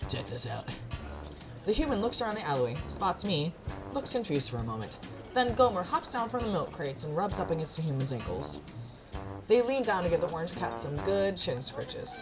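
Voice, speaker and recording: A woman reading a visual novel aloud expressively, with informal, casual asides. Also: on the low side. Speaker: gaming content creator. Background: music.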